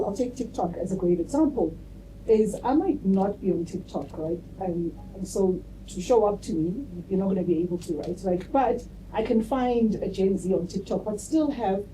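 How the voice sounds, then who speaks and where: A woman speaking on a debate panel as a marketing executive, lively and laughing easily between points, often tagging her sentences with "right?". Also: mid-range voice, normal speed. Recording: distant mic with faint room noise.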